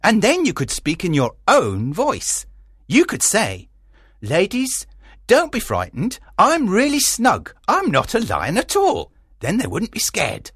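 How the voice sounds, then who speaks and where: A man's voice: high voice, wildly swooping pitch, a little slow, fairly bright tone, husky, no room sound, studio quality, pitched above the performer's own voice, exaggerated voice. A man voicing a bossy, self-important amateur actor in a storybook, eager and emphatic. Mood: self-important, insistent.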